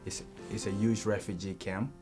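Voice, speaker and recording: Young man, East African accent, lowish pitch, personal story, guitar music behind. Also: little pitch movement, moderate pace. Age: young adult. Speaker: refugee student. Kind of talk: personal interview testimony.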